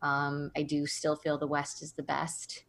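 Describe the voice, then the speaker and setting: Woman, thirties, bubbly and chatty, slightly low voice. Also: completely flat delivery, gravelly, a bit bright. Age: 30s. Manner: bubbly, self-deprecating, quick asides. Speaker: guest. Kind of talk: casual interview conversation.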